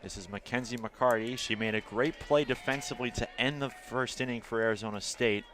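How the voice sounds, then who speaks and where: A man with an American accent doing live play-by-play commentary of baseball and softball as an announcer. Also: mid-range voice, brisk, noisy background.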